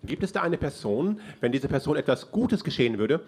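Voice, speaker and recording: A man with an Austrian accent who interprets a sermon phrase by phrase, speaking casually and often pausing on fillers. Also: mid-range voice, slightly rough. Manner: relaxed, with frequent "ähm" fillers.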